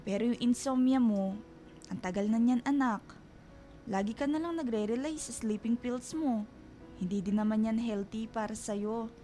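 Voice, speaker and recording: Woman acting ailing middle-aged mother, highish voice, caring, fretful, gently stubborn, radio drama, music behind. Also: moderate pace, lightly acted. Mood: worried, tender. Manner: tender, fretful, reassuring. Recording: music bed under dialogue. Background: background music.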